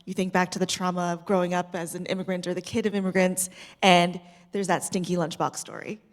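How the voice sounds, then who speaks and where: Panel moderator: woman, slightly low voice, reflective and conversational, reverberant auditorium sound. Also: level tone, brisk. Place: theatre stage at a live screening Q&A. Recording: reverberant hall, stage microphone.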